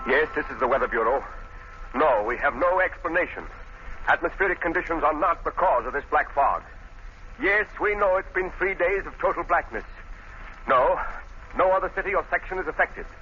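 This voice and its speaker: An actor in a 1940s-style radio crime drama, playing announcer and harried city men. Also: male voice, fairly high pitch, lively intonation, normal speed, lightly acted. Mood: weary, curt. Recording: dull, noisy old radio recording.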